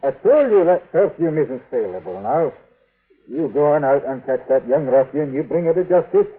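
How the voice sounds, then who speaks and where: An actor playing a crusty, flustered storekeeper with a soft heart, sputtering indignantly in an old-time radio western. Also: male voice, fairly high pitch, expressive, normal speed, breathy edge. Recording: narrow-band vintage radio recording.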